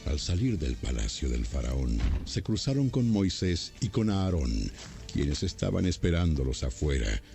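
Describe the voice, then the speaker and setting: A man's voice: extremely low pitch, big pitch swings, measured pace, vocal fry, dark tone, soft background music. A man narrating scripture as scripted, dramatized storytelling.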